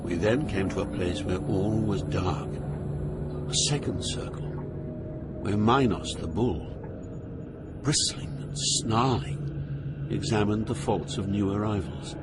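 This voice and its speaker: A man's voice: lowish voice, slow, pauses often, loud music behind the voice. An actor playing an awed, troubled poet who narrates his journey through hell with earnest drama. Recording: noisy atmospheric background.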